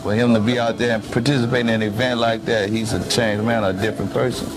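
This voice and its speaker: A man's voice: fairly low pitch, flat delivery, quick pace, over loud music. A man speaking reflectively in a documentary interview. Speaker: prison inmate interviewee.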